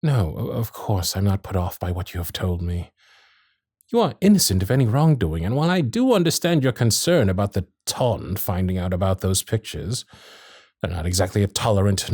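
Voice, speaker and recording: A man playing a courteous, protective Victorian gentleman suitor in a romantic period ASMR roleplay, with formal, old-fashioned phrasing and dramatic pauses. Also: on the low side, breathy edge, no room sound, studio quality, full character voice. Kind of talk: romantic period ASMR roleplay, intimate one-sided dialogue.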